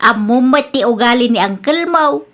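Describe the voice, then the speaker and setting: A woman with a Filipino accent playing a patient, cautious middle-aged mother in a radio drama, gently admonishing. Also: a little high, animated, moderate pace, close to an everyday voice. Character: cautious, patient mother counselling her grown child. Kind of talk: Ilocano comedy radio drama acting.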